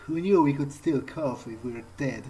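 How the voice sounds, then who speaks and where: A man's voice: mid-range voice, moderate pace, warm tone, slight background noise. A man playing a video game in character, speaking hesitantly and thinking aloud in short phrases. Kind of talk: in-character game playthrough commentary.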